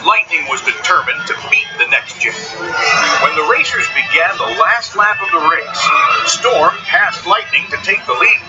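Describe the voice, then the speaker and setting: A man narrating a scripted children's story in a dramatic, expressive way. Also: sits high, measured pace. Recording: dull sound under loud music. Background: loud music bed.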